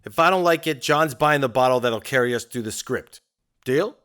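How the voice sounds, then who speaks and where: A man's voice: medium pitch, moderate pace, a bit bright, clear, resonant tone, natural voice. An actor playing a weary, sardonic male scriptwriter in an audio drama. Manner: dry, skeptical, grumbling.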